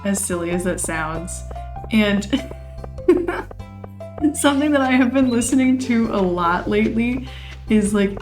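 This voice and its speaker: Hoarse woman chatting over game music. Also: fairly low pitch. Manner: chatty, rambling, laughs often. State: losing her voice, a bit hoarse. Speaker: let's play host. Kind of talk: casual gameplay commentary.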